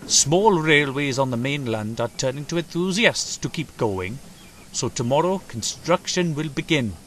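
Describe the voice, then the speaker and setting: Man voicing a formal railway controller, raised pitch. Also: expressive, unhurried, a bit bright, a little hiss or hum. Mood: announcing. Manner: formal, authoritative speechmaking. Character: proud, assuring adult male railway controller. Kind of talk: children's railway storybook character voice.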